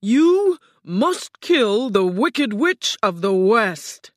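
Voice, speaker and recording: A woman voicing a giant, stern male wizard head from a storybook, with slow, loud, imposing pronouncements. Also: medium pitch, sing-song, no echo, clean studio sound, voice pushed up. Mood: demanding, threatening. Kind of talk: storybook character voice.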